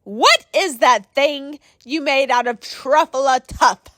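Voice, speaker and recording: A woman voicing a short, oldish, mossy male forest creature for a storybook, bossy and indignant with a sharp voice. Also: sits high, slow, no room sound, studio quality, full character voice. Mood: indignant, pleading. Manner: bossy, scolding.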